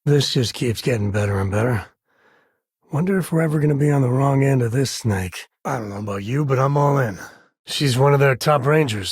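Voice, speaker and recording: Male actor as video game space-cowboy ranger dad: heavily raspy, mid-pitched, folksy and wry, loyal, protective, recorded dry. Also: no room sound, lightly acted. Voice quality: husky, raspy. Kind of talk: scripted game dialogue, conversational acting.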